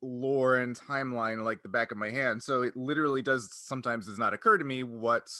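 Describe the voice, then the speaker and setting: Bright-voiced man hosting casual podcast chat. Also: mid-range voice, dry recording, studio quality. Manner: rambling, self-interrupting explanations. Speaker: podcast host and game master.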